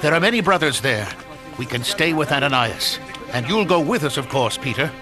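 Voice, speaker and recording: An actor playing an indignant, practical believer, speaking emphatically in an audio drama. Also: male voice, mid-range voice, expressive, a little fast, over music, close to an everyday voice. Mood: resolute. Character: indignant, practical male believer questioning injustice.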